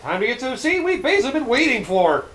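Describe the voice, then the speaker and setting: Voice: mid-range voice, big pitch swings, fast, a bit bright, echoey room. A let's-play commentator reacting casually to cutscenes, laughing often and swearing.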